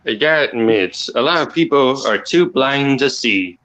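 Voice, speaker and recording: A quiet man with an accent hosting a loose discussion over a call. He rambles and stops to restart his thoughts in a mid-range voice. His delivery is halting. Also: mid-range voice, expressive, rough recording. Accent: non-native or regional accent. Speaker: channel host.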